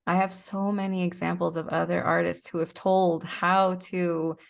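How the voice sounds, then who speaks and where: A woman's voice: on the low side, little pitch movement, normal speed, gravelly. A woman being interviewed as a guest, explaining things in a casual, reflective way. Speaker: interview guest, performing artist.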